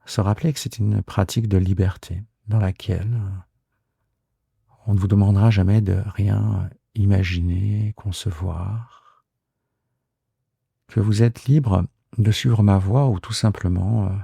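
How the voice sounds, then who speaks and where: Slow, deep, husky man guiding relaxation. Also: steady tone, dark tone, no room sound, clean studio sound. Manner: soothing, unhurried, hesitant with frequent drawn-out pauses. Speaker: yoga nidra guide and podcast host. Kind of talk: guided relaxation meditation.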